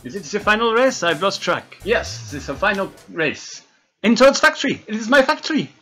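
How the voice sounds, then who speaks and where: A man doing comic gameplay commentary in a fake French accent, excitable and hammy, laughing at his own jokes. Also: high-pitched, moderate pace, faint music behind. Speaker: let's play gamer.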